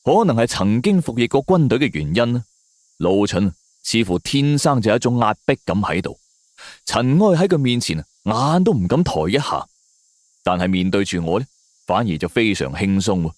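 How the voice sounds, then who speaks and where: A man's voice: fairly low pitch, big pitch swings, normal speed, darkish tone, no echo, studio quality. A man narrating a scripted suspense story in a dramatic storytelling manner.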